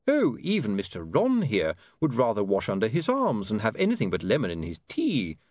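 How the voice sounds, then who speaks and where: A man's voice: lowish voice, wildly swooping pitch, normal speed, a little mellow, no echo, studio quality. A man voicing a fussy, overly courteous male beggar in an audiobook. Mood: genteel. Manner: polite, affected, overly courteous. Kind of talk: audiobook character voice.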